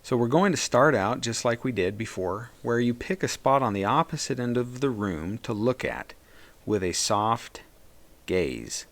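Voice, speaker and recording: A man leading a guided meditation as a therapist, speaking in a gentle, soothing and reassuring way. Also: medium pitch, expressive, unhurried.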